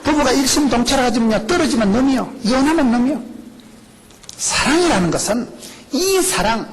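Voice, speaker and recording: A middle-aged male pastor with a Gyeongsang accent, preaching a lively, joking sermon full of rhetorical questions. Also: extremely high pitch, brisk, noisy background. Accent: Gyeongsang Korean. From rural South Korea. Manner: animated, jokey preaching with rhetorical questions and laughs.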